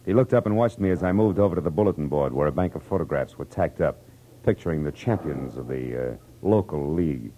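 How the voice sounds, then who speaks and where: A man's voice: very deep voice, animated, fairly quick, mellow, highs cut off. A man playing a tough, wry American private detective in 1940s radio noir style, with clipped, slangy delivery. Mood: wry narrating. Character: hard-boiled male private detective, tough, wry, world-weary. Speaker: detective narrator and lead. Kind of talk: 1940s radio noir acting and first-person narration. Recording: noisy vintage radio recording.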